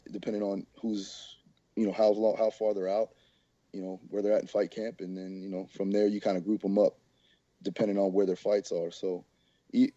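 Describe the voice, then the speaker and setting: Hoarse, dark-voiced man, laid-back. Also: on the low side, no room sound, muffled recording. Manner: laid-back, many fillers. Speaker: strength coach, co-host. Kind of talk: casual podcast chat.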